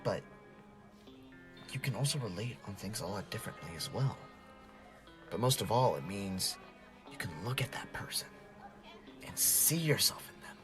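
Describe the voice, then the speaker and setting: A man playing an easygoing, brotherly teenage friend in an audio drama, musing philosophically, at times breathy. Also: lowish voice, expressive, moderate pace, pauses often, lightly acted. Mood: musing, sincere. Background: music.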